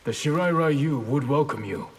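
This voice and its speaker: An actor playing a grave, dutiful ninja clan master in a video game, speaking formally in a husky voice. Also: male voice, on the high side, moderate pace, heavy background noise, lightly acted. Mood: solemn. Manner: formal, solemn. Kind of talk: video game fight banter.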